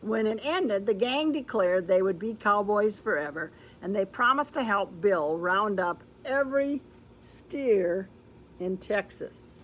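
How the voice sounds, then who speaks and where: An older woman reading a children's story aloud in a deliberate, expressive way, with explanatory asides. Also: medium pitch, a little hiss or hum. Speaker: storybook reader. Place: indoor room with books, like a classroom or library.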